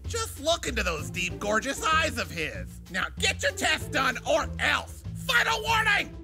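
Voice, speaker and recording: A man voicing an exasperated, scolding middle school or high school teacher in a comedy cartoon game. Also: sing-song, a little slow, vocal fry, music in the background, exaggerated voice. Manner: scolding, theatrical. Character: exasperated mustached male high school teacher, easily smitten. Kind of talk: animated comedy game acting.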